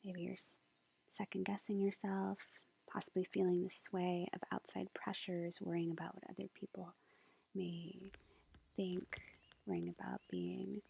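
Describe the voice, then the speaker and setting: A woman playing a sweet, gentle witch girlfriend, reading tarot in a soft, reassuring ASMR whisper. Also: mid-range voice. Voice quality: soft, breathy, creaky whisper. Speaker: ASMR roleplay performer. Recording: faint background noise, lo-fi.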